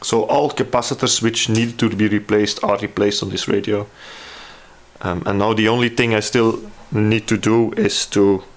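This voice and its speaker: A man with a fairly low voice gives a casual workbench walkthrough. He speaks hesitantly, thinking aloud as he explains what he is doing. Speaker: hobby radio restorer presenting a repair. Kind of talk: casual hands-on repair walkthrough.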